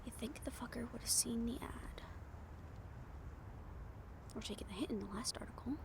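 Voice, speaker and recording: A woman's voice: on the high side, normal speed, in a whisper, lightly acted. A woman playing a brash, cynical, foul-mouthed reporter in an improvised audio roleplay, with a blunt, sardonic manner. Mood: muttering, frustrated.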